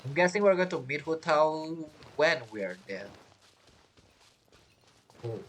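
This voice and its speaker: A man giving casual let's play commentary as a streamer, halting and repeating his phrases as he reacts to the characters. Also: on the high side, very animated, fairly bright tone. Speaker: game streamer.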